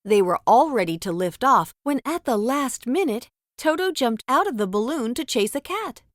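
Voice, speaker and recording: A woman's voice: a little high, lively intonation, normal speed, no echo, clean studio sound. A woman narrating a children's storybook as a scripted read-aloud.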